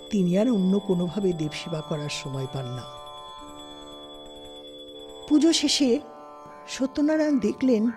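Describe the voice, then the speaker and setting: A woman's voice: fairly low pitch, darkish tone. A woman narrating a scripted literary story as an audiobook-style narrator. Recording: studio, faint background noise.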